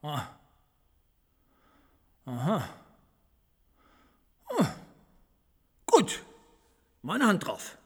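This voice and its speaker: Casting: wily fortress commandant, period radio drama, high and animated yet unhurried, menacing courtesy, long room reverb. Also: male voice, husky, exaggerated voice. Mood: pondering. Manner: deliberate, hesitant pauses with hums, threatening politeness.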